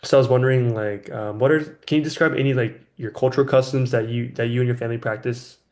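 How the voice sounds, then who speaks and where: A man's voice: mid-range voice, fairly even intonation, quick pace, a little mellow. A young man from California in his twenties, hosting a casual podcast chat in a friendly way, with lots of ums and you knows. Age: 20s. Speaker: podcast host.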